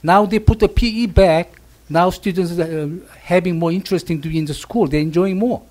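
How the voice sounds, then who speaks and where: A man on a school board who speaks haltingly, searching for words. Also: sits high, darkish tone. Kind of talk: board meeting remarks.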